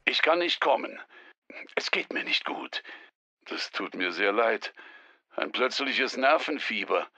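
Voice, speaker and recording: A gravelly, nervous professor. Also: male voice, mid-range voice, unhurried, pauses often, no room sound, exaggerated voice. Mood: tense, urging. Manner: strained, trying to sound calm. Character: nervous male professor on the phone.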